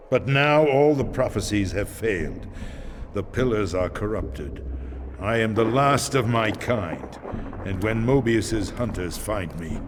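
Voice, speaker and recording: Ancient vampire elder, deep and slow. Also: male voice, noisy background. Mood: weary, resigned. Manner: solemn, portentous, measured delivery. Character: ancient weary vampire swordsmith, grave male elder. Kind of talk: dramatic video game cutscene acting. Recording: music behind the voice.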